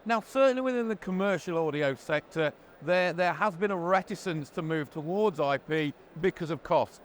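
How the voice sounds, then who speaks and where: A man presenting a product explainer interview, talking in a measured, explanatory way on a trade show floor. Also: a little high, animated. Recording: faint background noise. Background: faint ambient noise.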